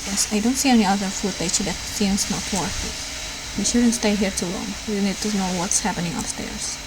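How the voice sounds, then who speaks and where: A woman's voice: medium pitch, brisk. A woman doing a let's play, reading visual novel dialogue aloud with casual asides in a raw home recording. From Indonesia. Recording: clear background noise, raw home recording.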